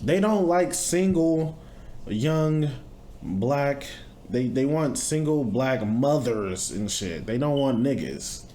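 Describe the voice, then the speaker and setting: A young man riffing on a clip as a reaction host, blunt and profane. His pitch swings widely as he talks, and a faint hiss sits under his voice. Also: expressive, slow, warm tone. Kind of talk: casual reaction commentary.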